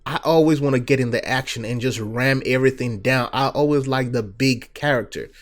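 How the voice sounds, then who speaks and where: A man's voice: medium pitch, a little fast. Young nigerian guy doing a casual q&a, laid back, lots of "you know"s. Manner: relaxed, chatty, many fillers and "you know"s. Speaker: gaming channel host. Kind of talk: casual Q&A talk to viewers.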